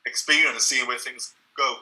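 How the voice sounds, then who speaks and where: A man's voice: mid-range voice, steady tone, a little fast, sharp tone, rough recording. A young man, speaking as an interview guest in an earnest, reflective way, sometimes repeating words as he restarts a thought.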